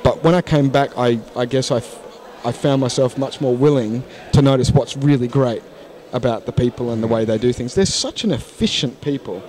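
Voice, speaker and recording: A man in his forties chats at a conference, holding his own mic. He speaks frankly and thoughtfully, with frequent false starts, at an even middle pitch. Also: a little fast, few pauses. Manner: frank, thoughtful, many restarts. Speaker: interview guest, English teacher. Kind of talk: casual interview. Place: conference hall lounge, indoors. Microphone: handheld mic.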